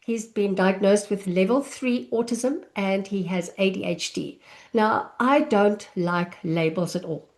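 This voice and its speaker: South african lady in her 50s teaching a live online class, takes her time, chatty, video call mic. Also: medium pitch. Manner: warm, unhurried, chatty with small laughs.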